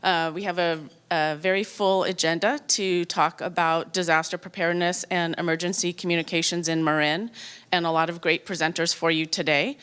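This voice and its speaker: Smooth-voiced woman opening a forum. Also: fairly low pitch, fairly even intonation, moderate pace, a bit bright. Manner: friendly, a bit scattered, laughs at her own slip. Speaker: forum host introducing speakers.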